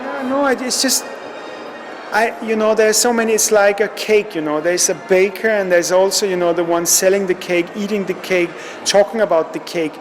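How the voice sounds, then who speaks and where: Thoughtful high-voiced Danish man. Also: normal speed, music in the background. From Denmark. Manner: reflective, hesitant, repeats himself. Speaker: artist. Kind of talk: interview.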